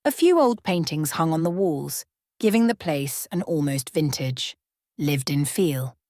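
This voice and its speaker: A woman storyteller with a fairly low voice reads scripted fiction for bedtime ASMR. The recording is dry, with very little room around her words. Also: expressive, measured pace, darkish tone, no room sound.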